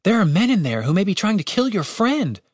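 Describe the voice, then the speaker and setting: Man playing an earnest, higher-voiced young adventurer. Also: sing-song, fairly quick, dry recording, studio quality. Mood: concerned, exasperated.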